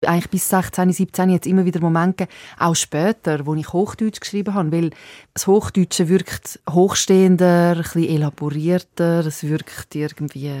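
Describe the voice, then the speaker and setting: A woman's voice: lowish voice, a little mellow, no echo. Reflective Swiss German woman, close and dry. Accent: Swiss German, Zurich/Winterthur. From Switzerland. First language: Serbo-Croatian. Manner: thoughtful, self-questioning with restarts. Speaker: author interviewed. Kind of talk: reflective casual talk.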